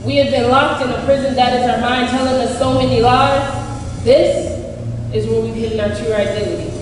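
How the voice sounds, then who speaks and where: A woman's voice: a little high, fairly even intonation, moderate pace, background noise. A woman performing spoken word poetry on a stage in a large indoor hall with an earnest, preaching-like delivery, heard from a camera far away. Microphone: far camera, no mic on the voice. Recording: echoey hall recorded from far off.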